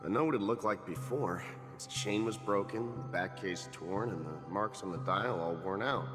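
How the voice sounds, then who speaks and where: A man's voice: lowish voice, moderate pace, natural voice. A man playing a commanding yet encouraging middle-aged train navigator in a game. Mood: questioning. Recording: game audio, long reverb, loud music.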